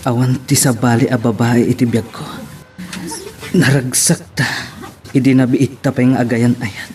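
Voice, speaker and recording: A man's voice: medium pitch, level tone, normal speed, a little mellow, slight background noise, close to an everyday voice. A man with an Ilocano accent playing a heartbroken, devoted young lover in an audio melodrama, speaking with tender sorrow. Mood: tender grief. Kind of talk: Ilocano radio-style melodrama acting.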